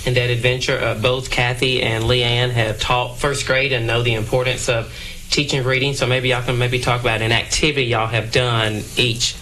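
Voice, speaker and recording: A man who is a guest on a radio talk show. He speaks in a measured way with many fillers. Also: mid-range voice, flat delivery, pushed and bright, slight background noise, poor audio. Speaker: literacy program guest. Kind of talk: casual chat interview.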